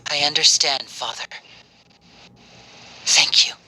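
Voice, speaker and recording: A man's voice: a little high, steady tone, slow pace, in a whisper. A man playing an earnest, devoted young follower in a video game, speaking solemnly and with resolve. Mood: grateful, subdued. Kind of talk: scripted video game voice acting.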